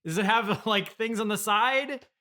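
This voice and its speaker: A man hosting a comedy interview, hesitant and halting, politely skeptical as he asks questions. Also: sits high, bright, forceful tone. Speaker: interviewer, podcast host. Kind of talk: improvised deadpan comedy interview.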